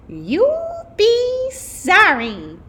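A woman voicing a wicked, strict substitute teacher in a storybook, stern and commanding with drawn-out threats. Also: extremely high pitch, wildly swooping pitch, bright, forceful tone, pitched above the performer's own voice, full character voice. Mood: stern, threatening.

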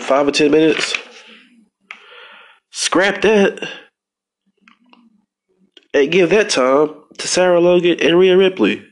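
A man with a stuffy nose hosting a casual wrestling podcast, rambling with frequent restarts and fillers. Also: medium pitch. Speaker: podcast host.

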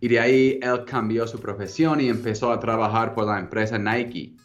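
A man in his thirties with an American English accent, speaking Spanish as a second language as a guest in a casual interview, relaxed and hesitant, with frequent ums and restarts. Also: mid-range voice, moderate pace, highs cut off. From United States. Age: 30s. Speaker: guest entrepreneur.

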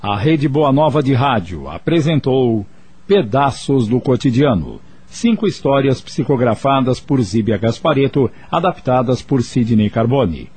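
A man's voice: mid-range voice, expressive, unhurried, darkish tone, breathy edge, soft background music. A man with a Brazilian accent reading scripted radio credits as a formal announcer, enunciating each item.

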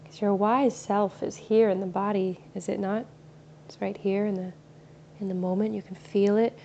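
A woman guiding a meditation in a slow, soothing, soft-spoken way. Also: medium pitch, level tone, creaky. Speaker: meditation guide. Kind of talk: guided meditation. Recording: slightly dull recording with faint ambient noise.

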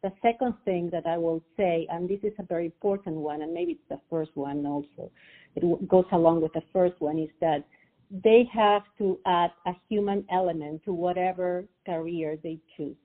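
A woman's voice: fairly low pitch, normal speed. Peruvian woman on a tinny phone line. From Peru. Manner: hesitant, many fillers and restarts. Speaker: guest, financial advisor. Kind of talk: interview over the phone.

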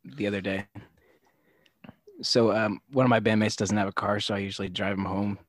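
Brief: young male co-host, lowish easygoing voice, rambling casual storyteller, dry close recording. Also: level tone, fairly quick, no echo. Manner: laid-back, many "like" fillers, low-key delivery. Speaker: podcast co-host.

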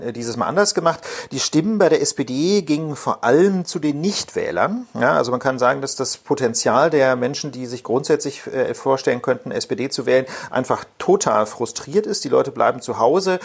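Mann mit heiserer, mittlerer Stimme. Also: male voice, medium pitch, slightly rough. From Berlin. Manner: explanatory, hesitant with fillers. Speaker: podcast co-host, lawyer. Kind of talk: casual political podcast talk.

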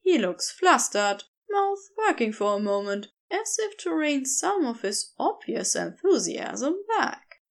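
Woman, fiction narrator, high pitch, animated melody, slow pace, expressive, deliberate scripted storytelling. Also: creaky. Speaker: podfic narrator.

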